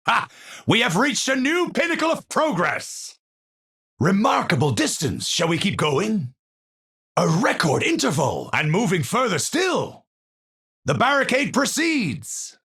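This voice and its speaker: An actor playing an eccentric, troubled genius physicist in a video game, a breathy voice and formal, precise, theatrical speech. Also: male voice, sits high, bright, forceful tone, exaggerated voice. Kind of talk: video game character voice lines.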